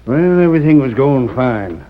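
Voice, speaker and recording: An actor playing a cocky American outlaw in a 1930s radio Western, with a rough, folksy drawl. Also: male voice, medium pitch, animated, moderate pace, lightly acted. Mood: rueful, boastful. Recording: noisy, dull vintage radio recording.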